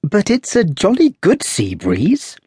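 A man doing a lively teasing young English lady. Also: measured pace, husky, no room sound, clean studio sound, exaggerated voice. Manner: bright, playful, raised pitch.

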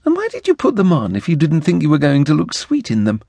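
A man's voice: fairly high pitch, wildly swooping pitch, fast talker, vocal fry, darkish tone, no echo, pitched above the performer's own voice, heavily acted. A man voicing a coy, teasing young woman. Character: teasing, playful young woman, slightly older. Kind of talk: audiobook character voice.

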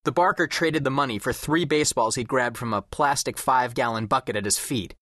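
A man's voice: mid-range voice, brisk, a bit bright, no room sound, studio quality, natural voice. An actor playing an observant, wry teenage boy who tells his own story as scripted audiobook narration. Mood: wry, descriptive. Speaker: first-person audiobook narrator.

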